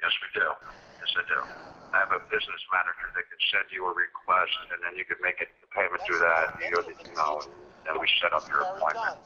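A man's voice: low-pitched, flat delivery, quick pace, bright, forceful tone. A man, a psychic talking casually on a phone call. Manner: casual, calls the caller bro. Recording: dull muffled phone line.

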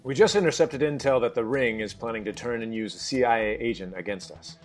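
A man's voice: mid-range voice, quick pace, soft background music, lightly acted. Actor as a firm, serious male spy boss. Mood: stern, blunt. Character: stern, businesslike male spy agent in charge. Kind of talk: scripted table read, dramatic TV acting.

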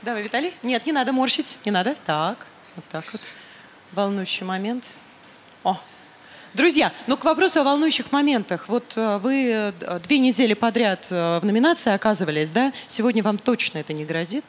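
A woman's voice: a little high, lively intonation, fairly quick. A woman co-hosting a live TV dance show on stage with a handheld mic, chatty with fillers. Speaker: TV show co-host. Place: large TV studio stage. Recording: live studio with crowd noise.

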